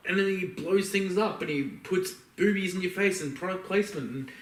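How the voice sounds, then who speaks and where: A man's voice: sits high, moderate pace, distant mic. A man co-hosting a podcast, chatting casually in a rambling, emphatic, sweary way. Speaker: podcast co-host. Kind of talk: casual opinionated chat about films. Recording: roomy echo, off-mic.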